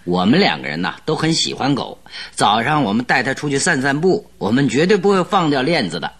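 Husky low-ish detective for radio drama, sly and confident, voice swoops around. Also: male voice, very animated, a little fast, fairly bright tone, exaggerated voice. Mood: friendly, coaxing. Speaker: voice actor in radio play. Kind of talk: Mandarin radio drama acting.

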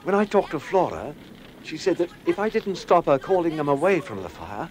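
An actor playing an anxious, earnest investigator in a radio drama. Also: male voice, a little high, big pitch swings, a little fast, a little mellow, rough recording. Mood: urgent, anxious. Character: anxious, earnest male investigator piecing together horrors.

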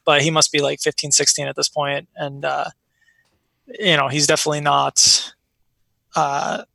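A man's voice: fairly high pitch, completely flat delivery, moderate pace, gravelly, bright, forceful tone, no room sound. An American man talking casually and telling personal stories in an informal, rambling way peppered with "like". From born in Iraq, raised in Chicago, United States. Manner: rambling, informal, frequent filler "like". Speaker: interview guest.

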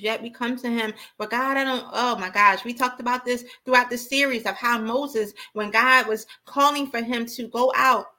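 A woman's voice: a little high, pushed and bright. A woman giving an impassioned, informal sermon as a preacher, exhorting emphatically and repeating key phrases.

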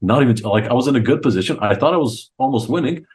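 A man's voice: mid-range voice, expressive, fast, slight background noise. A young man from Croatia telling stories informally and enthusiastically as a guest in a casual interview. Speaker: podcast guest, chess coach. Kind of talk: casual interview chat, storytelling.

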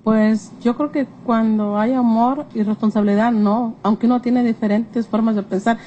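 A woman with a Mexican accent chats through her interview answers. Her voice is fairly high and soft-edged in tone, with faint noise behind it. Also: fairly even intonation, moderate pace, highs cut off. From Jalisco, Mexico. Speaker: survey respondent. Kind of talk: casual spoken interview answers. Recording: slightly noisy on-location interview recording.

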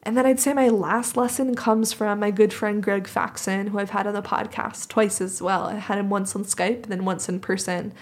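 A woman's voice: medium pitch, steady tone, normal speed, few pauses. A woman with a mid-range voice talks in a reflective podcast conversation. She comes across as earnest and appreciative, speaking as a host who is sharing her own thoughts. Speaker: podcast host being interviewed.

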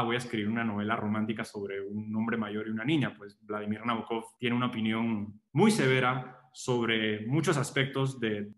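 A man's voice: mid-range voice, echoey room. Guy chatting about a novel, reads quotes out, voice bounces around the room. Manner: animated, reads quotations aloud. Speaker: book discussion host. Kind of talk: casual literary discussion.

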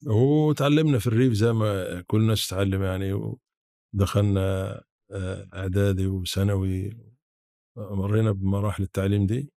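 A man in his seventies with an Egyptian accent, reminiscing in an interview in a halting way with repeated false starts. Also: on the low side, steady tone, dark tone, dry recording, clean studio sound. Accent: rural-born Egyptian Arabic. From Sharqia, Egypt. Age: 70s. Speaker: podcast guest, academic.

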